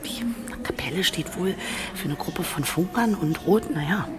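A woman's voice: fairly low pitch, lively intonation, normal speed, echoey room, noisy background, natural voice. An actress in a radio play playing a spirited, playful and irreverent female political prisoner with a theatrical, teasing manner. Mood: eager, conspiratorial. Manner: theatrical, teasing, breaking into whispers and laughter. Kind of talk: German radio play acting.

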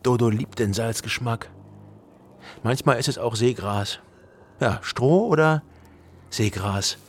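An actor playing an eccentric, kindly goat herder who chuckles and drawls folksy philosophy in a German radio play. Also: male voice, medium pitch, slightly rough. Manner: drawling, chuckling, ponderous pronouncements.

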